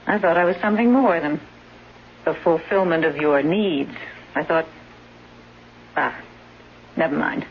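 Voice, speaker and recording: An actress playing a scheming caregiver and secret lover in a 1970s radio drama, brisk, sardonic and hard-edged. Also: female voice, sits low. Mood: hard, resentful. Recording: dull, noisy, humming vintage radio recording.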